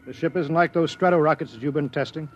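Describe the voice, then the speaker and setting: A man's voice: on the high side, quick pace, natural voice. A man acting in a 1950s radio drama, playing a serious, cautious flight test director who gives earnest instructions. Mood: firm, cautioning. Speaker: radio actor. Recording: muffled, noisy old radio broadcast.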